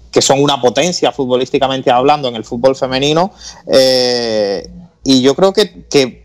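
Regular-pitched guy on sports radio, stumbles and repeats a lot, ends lines with ¿no?. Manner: hesitant, repeats words, tags with "¿no?". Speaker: radio show panelist. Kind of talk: casual radio talk.

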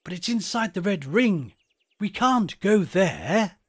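A man's voice: sits high, sing-song, slow, breathy edge, pitched above the performer's own voice, full character voice. A man voicing an eager, earnest young English schoolboy in a storybook. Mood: eager, cautious.

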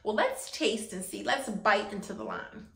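A woman's voice: mid-range voice, animated, moderate pace, vocal fry, pushed and bright. A woman in her thirties teaching young children as a preschool teacher, encouraging them and often checking in with questions. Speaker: preschool teacher hosting an art lesson. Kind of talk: instructional talk to young children. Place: small indoor classroom-style room. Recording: echoey indoor room.

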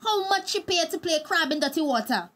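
A young village woman with a Tobagonian accent, a defiant grown daughter. Her voice is high and her manner cheeky and confrontational, in radio drama style. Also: expressive, fairly quick, fairly bright tone. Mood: insulting, angry.

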